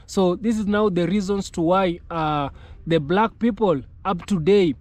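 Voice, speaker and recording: Casting: male online commentary host, high voice, halting delivery, circles back over phrases. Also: a little mellow, no room sound. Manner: halting, repetitive phrasing with frequent filled pauses. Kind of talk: YouTube commentary to camera.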